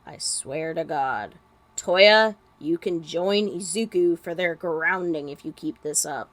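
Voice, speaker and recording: A woman's voice: low voice, takes it slowly, fairly bright tone, dry recording, pitched below the performer's own voice. A woman voicing a stern, exasperated male teacher and father figure in a story reading. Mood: exasperated warning.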